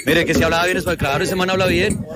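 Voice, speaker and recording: A Colombian man reporting from the street for a live stream, excitable and joking, chatting with fans and a co-host. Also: sits high, rapid-fire, very noisy. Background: music.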